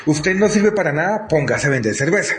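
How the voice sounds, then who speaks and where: A man's voice: a little high, lively intonation, a little fast, a bit bright. A man hosting an interview in an emphatic, admiring style. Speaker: interviewer, show host. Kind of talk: effusive show presentation and interviewing.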